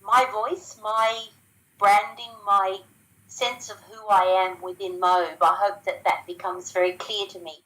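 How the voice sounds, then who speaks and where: A woman's voice: medium pitch, flat delivery, measured pace, pushed and bright, rough recording. An Australian woman talking casually as an interview guest, chatty, with frequent ums and restarts. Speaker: guest sharing business results.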